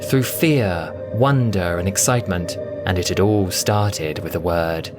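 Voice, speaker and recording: A man narrating a scripted science-fiction story with a theatrical, expressive delivery. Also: deep voice, measured pace. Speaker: audiobook narrator and voice performer. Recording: loud music bed under the voice. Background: music.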